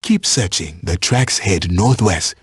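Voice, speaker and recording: A man playing a watchful African safari hunting guide in a video game, giving instructions in measured, formal phrasing. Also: low voice, lively intonation, breathy edge, dry recording, studio quality, full character voice. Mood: calm, watchful. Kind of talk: scripted video game voice lines.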